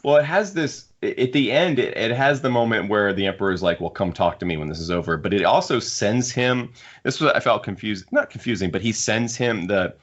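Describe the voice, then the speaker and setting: Low-voiced man rambling through a casual discussion. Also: fairly quick. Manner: rambling, thinking aloud. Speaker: podcast host. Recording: dull lo-fi podcast audio.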